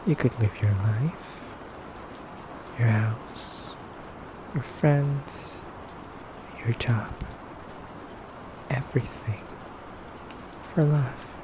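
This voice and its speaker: Male actor as elegant femboy vampire captor, ASMR roleplay: unhurried, hushed, courteous menace, deliberate pauses, audible background noise. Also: medium pitch. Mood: calm, solemn. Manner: soft-spoken, slow, deliberate, pausing often.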